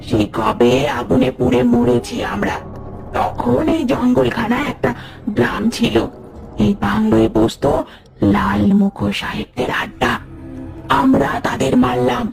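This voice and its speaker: An actor voicing a gloating, menacing old ghost woman in a horror cartoon, with a creaky, gravelly voice and a Bengali accent. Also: medium pitch. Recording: loud music behind the voice. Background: loud music.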